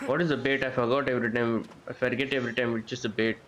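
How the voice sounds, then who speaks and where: A man's voice: medium pitch, flat delivery, fast talker, fairly bright tone, a little hiss or hum. A man giving casual live gameplay commentary as a streamer, thinking aloud in a rambling way and repeating phrases. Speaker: game streamer. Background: game music and character voices.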